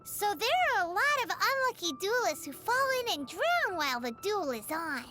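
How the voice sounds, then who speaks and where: Tiny game fairy sidekick, extremely high childlike voice, very animated swoops, chirpy, music behind. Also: female voice, moderate pace. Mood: bright, chatty. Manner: chirpy, refers to herself in third person. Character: tiny excitable floating fairy companion, childlike, female. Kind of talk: video game character voice lines.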